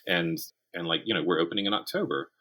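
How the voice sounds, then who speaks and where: A man's voice: deep voice, fast, bright, forceful tone. A middle-aged man speaking as an interview guest, a writer talking thoughtfully and conversationally, often restarting his phrases. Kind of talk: conversational interview about writing craft.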